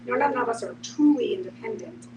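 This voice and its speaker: A woman with a slightly high voice talks through ideas in an explanatory, reflective way, peppered with "you know". She sounds a bit away from the microphone, with clear background noise and hum. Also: quick pace, distant mic. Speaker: podcast panelist. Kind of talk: reflective group discussion.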